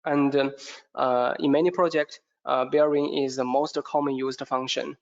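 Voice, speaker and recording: A man giving a product training presentation in a hesitant, halting way, often repeating words, with a creaky voice. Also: mid-range voice, fairly even intonation. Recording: dull, muffled lo-fi audio.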